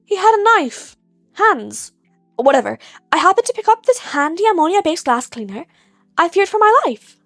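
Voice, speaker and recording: A woman voicing a deadpan, mouthy, reckless teenage boy vigilante who argues glibly in an audio story. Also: very high-pitched, moderate pace, no echo, clean studio sound, faint music behind, pitched above the performer's own voice, full character voice. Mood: glib, innocent. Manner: glib, unbothered, argumentative.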